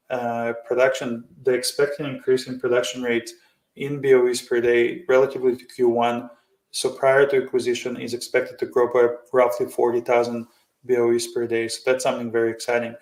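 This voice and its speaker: Male host, informal market commentary, wordy and circling, narrow pitch range, echoey room sound. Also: flat delivery, normal speed, darkish tone. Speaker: host of an investing commentary show. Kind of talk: informal off-the-cuff financial commentary.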